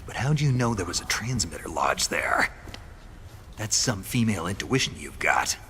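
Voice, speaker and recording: Gruff low-voiced soldier. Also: male voice, sing-song, moderate pace, sharp tone, a little hiss or hum, full character voice. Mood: wryly curious. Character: gruff, stoic male American soldier with one good eye. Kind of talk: cinematic video game voice acting.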